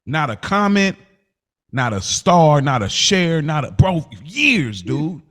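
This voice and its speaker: A man's voice: a little high, big pitch swings, slow, fairly bright tone. A man talking casually as a podcast co-host, slangy and emphatic, often repeating phrases to drive his point. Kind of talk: casual conversational podcast chat.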